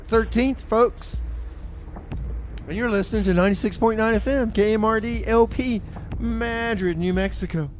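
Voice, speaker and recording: A man's voice: high-pitched, slight background noise. A man hosting a community radio show with an easygoing, chuckling delivery in a radio studio. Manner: easygoing, chuckling, amused by his co-host. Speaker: radio DJ and host. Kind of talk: casual radio chat and announcements.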